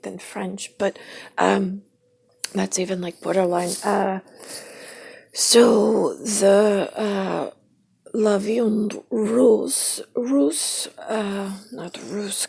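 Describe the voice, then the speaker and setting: Woman vlogger, very husky and gravelly, slow, drifting monologue that keeps spelling words out. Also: mid-range voice, dark tone. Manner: spells words out letter by letter, drifts between topics; often drops to a half-whisper. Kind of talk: rambling stream-of-consciousness monologue.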